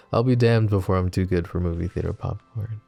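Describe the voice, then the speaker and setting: A man playing a flirty, possessive mafia boss who is smitten and vulnerable, speaking in soft, teasing, intimate ASMR roleplay. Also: low voice, moderate pace, soft background music. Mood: warm, flirty. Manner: soft teasing banter with breathy chuckles.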